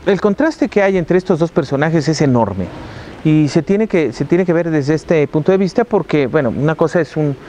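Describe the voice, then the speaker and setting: A man from Mexico City with a Mexican accent, a university professor talking reflectively about his career, pausing often to find his words. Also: a little high, animated, dark tone, slight background noise. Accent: Mexican Spanish. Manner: reflective, frequent hesitations and restarts. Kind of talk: interview, recounting his career.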